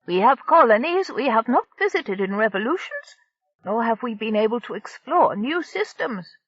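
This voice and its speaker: A stern, unbending alien captain for a storybook reading, as a woman narrator puts her on. The voice sits high and lively, rising sharply on its demands. It comes over a dull, dry, lo-fi recording. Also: unhurried, no room sound, exaggerated voice. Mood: severe. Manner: severe, emphatic.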